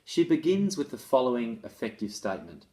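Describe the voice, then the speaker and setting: A man's voice: medium pitch, big pitch swings, a little fast, dark tone. A man presenting as an education author, reading a case study aloud and explaining it in a conversational, instructive way. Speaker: author and presenter on restorative practices in schools.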